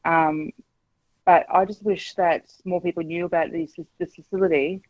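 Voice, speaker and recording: Hesitant caller on a dull line. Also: female voice, on the low side, fairly even intonation, moderate pace, dry recording. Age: 40s. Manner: hesitant, frank, many ums. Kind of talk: candid phone-in chat.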